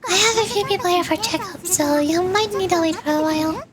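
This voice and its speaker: A tiny, kindly nurse from a video game. The voice is very high and young, with a caring, polite manner. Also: female voice, brisk. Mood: gentle, caring.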